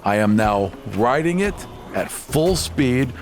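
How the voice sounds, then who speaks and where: A man's voice: mid-range voice, very animated, slow, heavy background noise. A man as an aggrieved, proud merchant captain. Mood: vengeful. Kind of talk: improvised tabletop roleplay.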